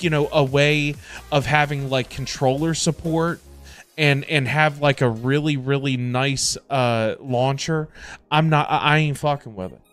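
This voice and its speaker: A middle-aged man chatting casually to livestream viewers in an animated, emphatic way, often repeating himself for emphasis. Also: fairly high pitch, no room sound. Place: indoor home streaming setup. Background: music.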